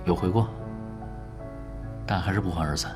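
A man playing a nonchalant, confident young pro gamer in a Chinese radio drama, teasing and quietly assured. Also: low voice, moderate pace, natural voice. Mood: subdued. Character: laid-back, confident young male pro gamer who ran away. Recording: music behind the voice.

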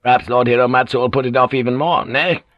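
A man's voice: medium pitch, steady tone, fast, dry recording, highs cut off, pitched above the performer's own voice, close to an everyday voice. Man doing a brusque, commanding samurai lord. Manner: curt, commanding, probing. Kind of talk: audiobook character dialogue.

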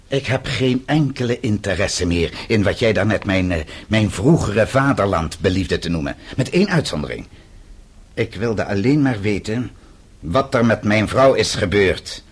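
An actor in a radio play voicing a bitter, defiant exiled banker who speaks with scornful, accusing emphasis. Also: male voice, lowish voice, expressive, moderate pace, breathy edge. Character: defiant, bitter exiled male banker, suspected political dissident.

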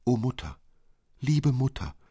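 Man putting on an eager, higher-pitched little girl. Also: big pitch swings, unhurried, dark tone, husky, no room sound, clean studio sound. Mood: excited, earnest. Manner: breathless wonder, insistent. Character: eager, dreamy little girl pleading earnestly.

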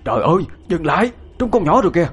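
A man's voice: fairly high pitch, sing-song, racing, slightly rough, faint music behind, voice pushed up, exaggerated voice. A man voicing an older village elder who cries out urgently. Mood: alarmed. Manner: exclaiming, urgent.

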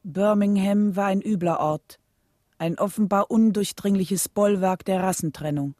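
A woman's voice: mid-range voice, unhurried, mellow, dry recording, studio quality. A woman narrating a scripted documentary radio drama.

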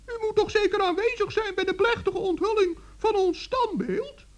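A performer voicing a small, kindly, earnest forest gnome with a creaky voice in a children's radio play. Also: very high voice, moderate pace. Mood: polite, concerned. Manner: earnest, admonishing, polite.

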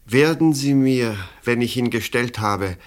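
An actor playing an earnest, ambitious detective in a German radio play, speaking formally and with urgency. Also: male voice, medium pitch, a little slow, close to an everyday voice. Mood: tense, hopeful. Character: earnest, ambitious male detective courting a woman.

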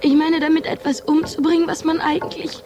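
A woman's voice: high voice, little pitch movement, fairly quick, background noise, lightly acted. An actress in a radio play, playing a confused, anguished woman in a troubled relationship who speaks hesitantly and questioningly.

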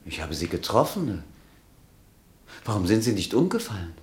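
An actor playing a jumpy armed lieutenant barking challenges on guard in a radio drama. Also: male voice, deep voice, very animated, moderate pace. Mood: bewildered, alarmed. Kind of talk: German radio drama acting. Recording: very noisy old radio recording.

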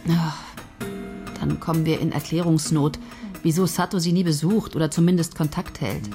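A woman's voice: deep voice, fairly even intonation, normal speed, music in the background. An actress playing a dry, sarcastic woman who runs a television writing team in a radio drama.

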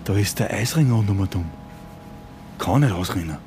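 An actor playing a domineering, condescending conspiracy believer turned kidnapper in a radio play, speaking colloquially with an Austrian accent. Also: male voice, on the low side, animated, normal speed, background noise. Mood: insistent. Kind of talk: contemporary radio play acting.

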